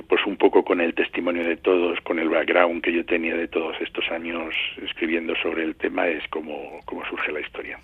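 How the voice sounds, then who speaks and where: A middle-aged or older man from Madrid with a Castilian accent, answering radio interview questions in a halting, measured way, searching for words. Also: low-pitched, husky, dry recording. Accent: Castilian Spanish from Madrid. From Madrid, Spain. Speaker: journalist and novelist, interview guest. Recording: muffled phone line into radio show.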